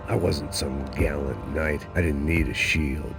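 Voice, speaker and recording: An American man playing a cynical, world-weary middle-aged ex-cop in a game, deadpan and sardonic, in noir style. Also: very deep voice, unhurried, creaky, heavily acted. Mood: brooding. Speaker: game protagonist and narrator. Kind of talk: hardboiled noir game narration and dialogue. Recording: dramatic music under the voice.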